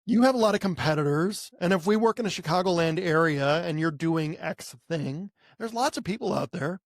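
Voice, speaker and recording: A man's voice: on the high side, lively intonation, normal speed, no room sound, studio quality. An American man co-hosting a podcast in casual talk, conversational and given to posing rhetorical questions. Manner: conversational, poses rhetorical questions and voices imagined clients. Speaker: podcast co-host, marketing agency owner.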